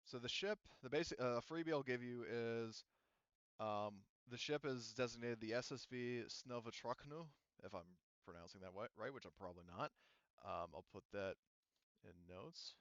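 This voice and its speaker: Clear-voiced man game master, slightly muffled. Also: mid-range voice, fairly bright tone, no room sound. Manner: halting, explanatory, hedging with asides. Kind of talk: improvised tabletop game narration and rules talk. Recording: muffled, dull microphone sound.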